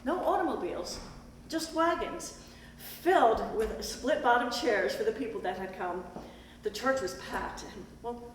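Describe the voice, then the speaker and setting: An earnest, gracious lady of the 1920s, acted by a woman tells her story in a stage play. Her manner is polite and measured, her voice fairly high, carried through a very echoey hall with some noise. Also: expressive. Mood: storytelling. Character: Miss Butler, earnest gracious woman school organizer of the 1920s. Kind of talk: amateur stage play, narrating and acting. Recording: distant echoey hall recording, background noise.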